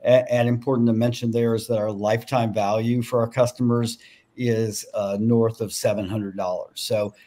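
Older man pitching a farm business. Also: level tone, moderate pace, mellow. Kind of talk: business pitch and question answering. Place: home room with bookshelves. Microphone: video call.

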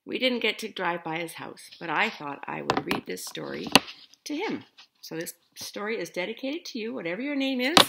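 A middle-aged woman reads aloud for children in a home setting. Her voice is bright and lightly gravelly, with lively rises and falls. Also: medium pitch, normal speed, slight background noise, poor audio. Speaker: storyteller reading a picture book. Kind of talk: children's read-aloud and friendly address to viewers. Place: living room at home.

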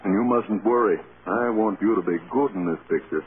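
A man's voice: fairly low pitch, a little fast, phone-quality sound, close to an everyday voice. An actor playing a kindly studio boss in a vintage radio drama, fatherly and businesslike. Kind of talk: 1930s-40s radio drama acting. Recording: noisy, band-limited vintage radio.

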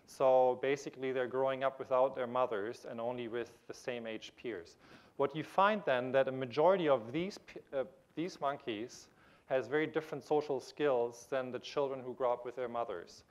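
A man's voice: medium pitch, normal speed. Man lecturing from an auditorium stage. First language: German. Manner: explanatory, with frequent restarts and fillers; gestures while pacing the stage. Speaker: keynote speaker. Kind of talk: conference lecture. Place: large auditorium stage with audience. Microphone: lapel mic.

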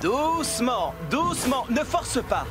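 An upbeat cartoon builder who leads his crew with reassurance. His delivery is expressive and swings widely in pitch, at an easy pace, over prominent music. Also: takes it slowly, exaggerated voice. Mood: reassuring, encouraging. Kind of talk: French-dubbed children's cartoon acting. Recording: loud music bed behind.

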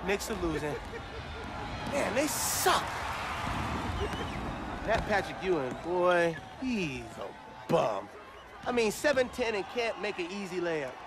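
An actor playing a brash, excitable young man who yells and banters in a packed indoor arena; his voice is high and strained. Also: background noise, heavily acted. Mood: mocking. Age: young adult. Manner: yelling, rapid comic banter. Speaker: young man courtside at a pro basketball game. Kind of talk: broad comedy film acting.

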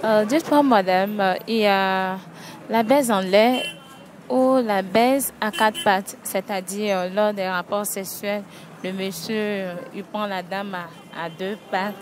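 A woman's voice: medium pitch, takes it slowly. A young woman interviewing passers-by on a market street with a handheld mic, asking blunt, teasing questions. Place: busy outdoor market street. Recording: outdoor crowd noise. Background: crowd.

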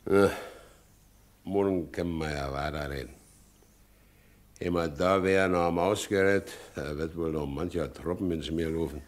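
An actor playing an ageing, good-natured but broken carter in a 1960s German radio drama, halting and brooding. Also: male voice, low voice. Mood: brooding, bitter. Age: middle-aged. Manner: halting, brooding, heavy delivery.

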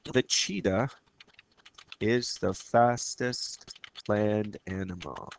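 Man with a cold explaining software. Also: lowish voice, drawn-out words, gravelly, slight background noise. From Canada. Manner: casual, explanatory, with frequent fillers and restarts. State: has a cold, slightly raspy. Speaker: teacher. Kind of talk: screen-recorded software tutorial.

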